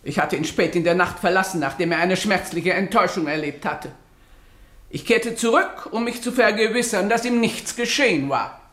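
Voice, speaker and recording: A woman's voice: on the low side, moderate pace, a bit bright, close to an everyday voice. An actress plays a prim, self-righteous lady giving testimony in a radio drama. She speaks formally and precisely with offended dignity, and the sound is noticeably roomy and echoing. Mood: wounded dignity. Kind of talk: German radio drama acting, witness testimony.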